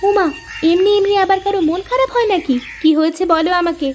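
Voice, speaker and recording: A woman voicing a young, outspoken daughter-in-law in a cartoon, pert and expressive in manner. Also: extremely high pitch, fast talker, slight background noise. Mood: pert, chatty. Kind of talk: dubbed moral cartoon dialogue.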